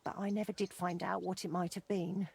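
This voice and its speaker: A woman's voice: fairly low pitch, little pitch movement, a little fast, gravelly, a little mellow, slightly rough. An actress playing a motherly older blacksmith's wife in a video game, plainspoken and wistfully reminiscing. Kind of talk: video game dialogue acting.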